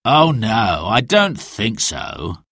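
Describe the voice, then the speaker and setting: Brief: a man voicing a proud sheriff, slow and sneering. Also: lowish voice, very animated, a bit bright, husky, no room sound, clean studio sound, exaggerated voice. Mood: scornful. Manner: scornful, slow and dismissive.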